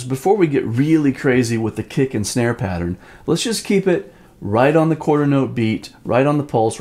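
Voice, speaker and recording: A man's voice: medium pitch, darkish tone. A man giving an informal drum lesson, explaining step by step and sometimes sounding out rhythms in syllables. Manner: demonstrates rhythms by vocalizing syllables. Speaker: drum teacher. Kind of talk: instructional lesson talk.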